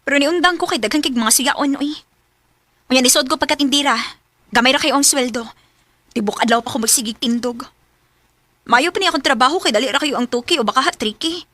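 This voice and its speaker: Coy blunt young bisaya gf, bright high voice, radio drama. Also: female voice, fast, long pauses, no echo. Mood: dismissive. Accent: Filipino, Bisaya. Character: evasive, blunt young woman stringing her suitor along.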